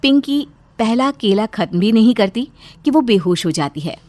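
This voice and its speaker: Steady woman narrating a cartoon tale. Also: on the high side, normal speed, no room sound.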